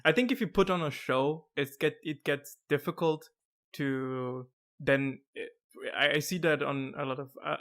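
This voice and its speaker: Give me a monotone, laughing host. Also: male voice, fairly high pitch. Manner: monotone, laughs often, many restarts. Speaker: podcast host. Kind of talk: casual video-call chat.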